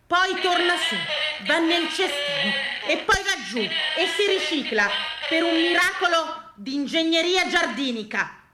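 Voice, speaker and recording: A woman's voice: extremely high pitch, animated, unhurried, noisy background. A woman delivers a theatrical comic monologue in a satirical, emphatic way, stringing words into incantatory, repeated lists. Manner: satirical, exclamatory. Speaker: solo stage actress. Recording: roomy, echoing stage sound.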